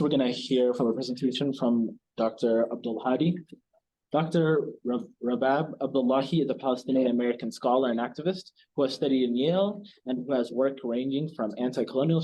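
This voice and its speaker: A man hosting an event, giving a halting introduction and stumbling over his words. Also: medium pitch, warm tone, a little hiss or hum, poor audio. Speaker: event host.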